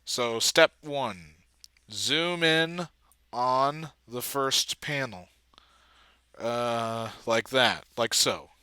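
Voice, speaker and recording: A man giving a casual walkthrough as an amateur video editor, thinking aloud haltingly and trailing off. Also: mid-range voice, lively intonation, bright, forceful tone, clear, resonant tone, no echo. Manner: halting, trailing off mid-thought.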